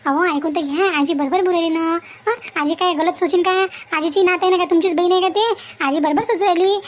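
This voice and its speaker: A woman voicing a meddling, easily offended wife in an animated family drama, nagging. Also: very high voice, brisk.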